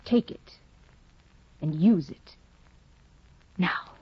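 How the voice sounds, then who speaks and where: A cold, controlling aristocratic woman in an old radio drama. She speaks in a cool, measured, quietly manipulative way. The sound is dry and dull, like a 1950 broadcast. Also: on the low side, slightly rough, no echo, heavily acted. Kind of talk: 1950s radio drama acting.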